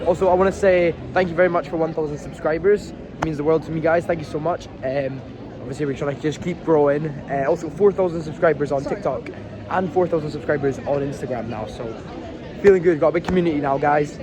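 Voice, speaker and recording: A man's voice: on the high side, fast talker, crowd noise. A young man, chatting casually and rambling as he vlogs his day trip, swearing now and then. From Scotland. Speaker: travel vlogger. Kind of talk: casual vlog narration. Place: outdoors in a windy coastal town and city streets. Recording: noisy outdoor phone vlog.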